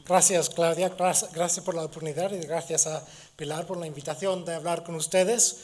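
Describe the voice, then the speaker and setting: A middle-aged man from Gibraltar, a native English speaker, giving a university lecture while hoarse from a cold, halting with restarts and fillers. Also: on the high side, fairly even intonation, darkish tone. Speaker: guest lecturer.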